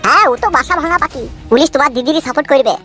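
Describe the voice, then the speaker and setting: A woman's voice: sits high, rapid-fire, sharp tone, soft background music, voice pushed down. A woman voicing a dim-witted young male sidekick in a comedy cartoon who keeps eagerly agreeing.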